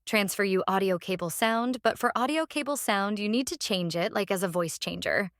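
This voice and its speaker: A woman narrating a casual software tutorial, explaining step by step in a halting way and repeating phrases. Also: medium pitch, dry recording, studio quality. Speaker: tutorial presenter.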